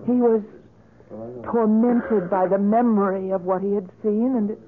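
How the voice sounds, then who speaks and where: A woman's voice: medium pitch, fairly even intonation, lightly acted. Actress as earnest young woman, muffled old radio. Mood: wistful, troubled. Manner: earnest, hesitant, halting. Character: Lisa, sensitive, devoted young woman in love. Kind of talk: 1970s radio drama acting. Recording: muffled vintage radio drama recording.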